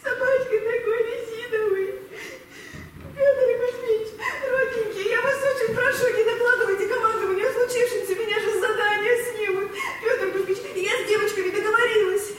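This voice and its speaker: An actress playing a timid, childishly emotional young army lieutenant in an amateur radio play, with a hesitant, whining, pleading delivery. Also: female voice, very high-pitched, steady tone, normal speed. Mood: tearful pleading. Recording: distant echoey hall, faint noise.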